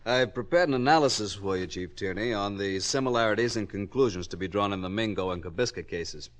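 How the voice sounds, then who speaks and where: A male actor plays a grave American psychology professor in a 1950s radio drama. His voice is low, measured and authoritative, every point laid out with precise assurance. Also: close to an everyday voice. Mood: grave, certain.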